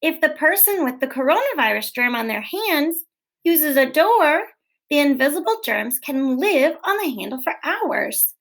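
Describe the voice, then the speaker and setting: A woman teacher reading a children's book aloud in a gentle, clear, expressive way, posing questions to young listeners. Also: high-pitched, unhurried. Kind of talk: read-aloud storytelling for children.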